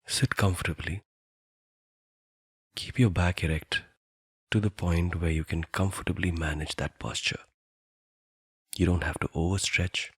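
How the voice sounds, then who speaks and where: A man guiding a meditation in a soothing, deliberate manner, leaving long pauses between phrases. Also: sits low, fairly even intonation, a bit bright, slightly rough, dry recording, clean studio sound. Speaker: meditation guide. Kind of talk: guided meditation narration.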